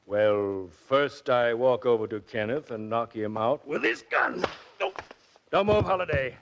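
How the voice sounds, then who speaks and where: An actor playing a smug, murderous, scheming uncle in 1940s radio drama, smooth and menacing. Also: male voice, mid-range voice, expressive, a little slow, fairly bright tone. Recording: dull 1940s radio recording.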